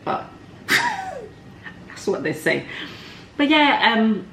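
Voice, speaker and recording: A woman's voice: high-pitched, huge pitch swings, very slow, fairly bright tone. Candid woman vlogging in echoey room. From Somalia. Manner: candid, cheeky, informal slang. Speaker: vlog host. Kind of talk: casual chatty get-to-know-me vlog. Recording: echoey room, faint background noise.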